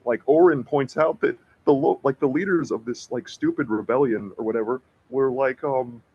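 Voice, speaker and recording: A man chatting casually about history on a podcast, rambling and slangy, with a husky voice. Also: medium pitch, moderate pace. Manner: rambling, slangy, filler-heavy with frequent "like". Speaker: podcast co-host discussing books. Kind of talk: casual chat. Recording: dull, lo-fi audio.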